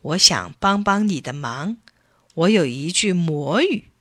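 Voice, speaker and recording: Woman voicing a kindly old storybook grandfather, very expressive. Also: lowish voice, a little slow, no echo, clean studio sound. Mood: mysterious, instructive. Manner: gentle, coaxing, instructive. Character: kindly, patient old grandfather with secret wisdom.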